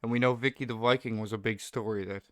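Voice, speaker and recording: A man's voice: mid-range voice, steady tone, quick pace, fairly bright tone, dry recording, clean studio sound. A man speaking as a guest in a casual fan discussion, agreeable and appreciative.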